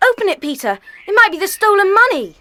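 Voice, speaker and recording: A woman playing an impatient, sharp-tongued child detective in a children's audio drama. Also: extremely high pitch, sing-song, fast talker. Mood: curious. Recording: noisy old cassette dramatization.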